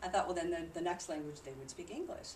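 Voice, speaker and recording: A woman's voice: lowish voice, fast, a little mellow. A woman giving an informal presentation about a trip to a group, explaining things in a conversational way. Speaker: presenter reporting on a charity trip. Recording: distant mic in noisy, echoey room.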